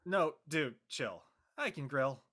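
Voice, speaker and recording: A woman's voice: sits low, lively intonation, slow, fairly bright tone, full character voice. A woman playing an easygoing, slightly sheepish young man in an audio drama, laid-back and casual. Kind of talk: acted audio drama dialogue.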